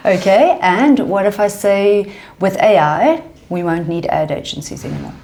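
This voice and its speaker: Host read: woman, mid pitch, quick friendly prompts. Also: a little mellow. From South Africa. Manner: brisk, friendly, short prompting questions. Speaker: interview host. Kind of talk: casual interview.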